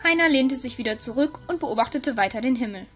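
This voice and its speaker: A woman's voice: high voice, expressive, fast, a bit bright, slightly rough. A woman narrator reading a scripted audio story. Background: music.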